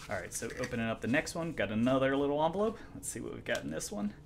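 A man's voice: mid-range voice, very animated, fast talker, gravelly, slight background noise. A man reviewing watches, talking casually through an unboxing at home, chatty and self-deprecating with many ums and restarts. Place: home room, indoors.

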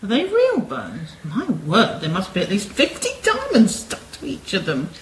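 A woman's voice: mid-range voice, wildly swooping pitch, normal speed. A woman playing a blunt, sceptical sleuth's sidekick in an amateur radio play, exclaiming. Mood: startled, curious.

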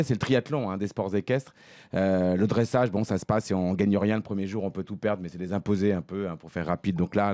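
A middle-aged man giving a sports recap as a commentator in a radio studio, halting and repeating words as he goes. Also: on the low side, mellow, no echo. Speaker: equestrian commentator. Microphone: studio microphone on a desk arm.

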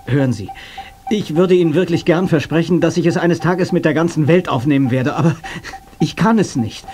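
A man's voice: fairly high pitch, a little fast, darkish tone, lightly acted. An actor playing a defiant, sceptical young man in a German radio play, blunt and sarcastic. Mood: troubled. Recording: clear background noise, cassette transfer.

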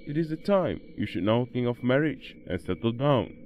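A man's voice: medium pitch, animated, moderate pace, dull audio, a little hiss or hum, lightly acted. A man playing a stern traditional father arranging his grown son's marriage, speaking firmly and decisively in an amateur radio play. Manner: firm, commanding.

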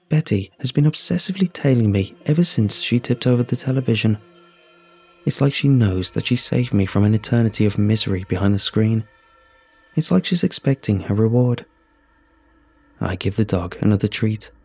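A man narrating a scripted first-person horror story in a measured, solemn, husky voice. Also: fairly low pitch, a little mellow. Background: music.

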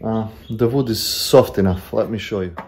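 A man's voice: lowish voice, moderate pace, a little mellow. A man in his thirties vlogging from a stone workshop shed, chatting casually and self-deprecatingly with many fillers while he works, on a phone held at arm's length. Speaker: homesteading vlogger. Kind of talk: casual talk to camera while working. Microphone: handheld phone camera at arm's length. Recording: echoey shed with faint background noise.

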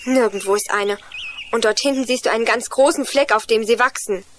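A woman's voice: a little high, fairly quick, noisy background, lightly acted. A woman playing an earnest young girl in a children's radio play, telling things in an eager, explanatory way. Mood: urgent. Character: Juliane, earnest young girl lost in forest.

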